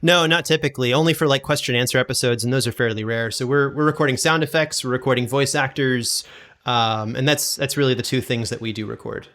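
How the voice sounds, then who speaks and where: A man's voice: mid-range voice, fast, a bit bright. A man chatting casually as an interview guest, easygoing and agreeable. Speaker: podcast guest, audio drama producer.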